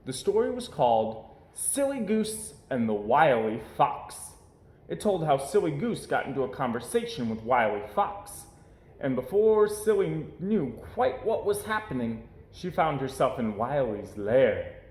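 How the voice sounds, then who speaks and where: Expressive man reading a storybook aloud. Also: mid-range voice, measured pace. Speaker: storybook reader. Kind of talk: expressive children's read-aloud narration. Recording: roomy, echoey indoor sound.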